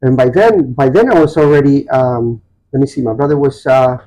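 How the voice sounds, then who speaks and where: Dark-voiced, halting man in his sixties. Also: medium pitch, rough recording. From Chile. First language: Spanish. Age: 60s. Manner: halting, meandering, often searching for words. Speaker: podcast guest. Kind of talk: personal storytelling in a casual interview.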